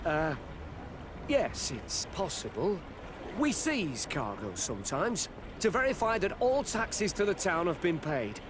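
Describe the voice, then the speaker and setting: A man's voice: high voice, very animated, a little slow. A man playing an evasive, beleaguered customs officer in a video game, hesitant, stammering and deferential. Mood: guarded, nervous. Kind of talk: video game cutscene acting. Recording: noisy outdoor ambience behind the voice.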